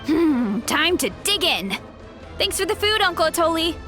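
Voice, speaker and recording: A woman's voice: very high-pitched, big pitch swings, normal speed, pushed and bright, faint music behind, exaggerated voice. An actress playing a sweet, modest young woman with a big appetite in a video game. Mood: eager.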